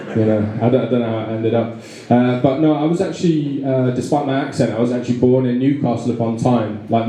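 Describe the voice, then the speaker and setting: A man's voice: mid-range voice, warm tone. A young man with a London accent doing a stand-up set, rambling with lots of fillers and restarts, self-deprecating. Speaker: stand-up comedian. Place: small pub comedy room with a live audience. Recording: very echoey room. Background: audience laughter.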